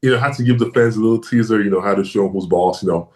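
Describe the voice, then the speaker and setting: A very low-voiced man from Nigeria whose first language is Yoruba, answering interview questions over a video call. He talks in a boastful, easygoing way, leaning on "you know" between his thoughts. His voice rings in a roomy, echoey space. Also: very fast, darkish tone. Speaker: guest wrestler. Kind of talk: casual interview.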